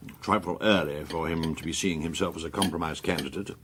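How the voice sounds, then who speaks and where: An actor playing a waspish upper-class intelligence agent in a radio drama, languid and arch in manner. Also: male voice, very deep voice, steady tone, fast, fairly bright tone, a little hiss or hum. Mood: arch, amused. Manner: languid, arch, affected endearments.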